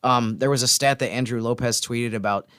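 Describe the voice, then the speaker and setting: A man's voice: medium pitch, fairly even intonation, fairly quick, bright, forceful tone, smooth, no room sound, studio quality. A man co-hosting a podcast, talking sports in a casual, conversational way. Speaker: podcast co-host, sports reporter.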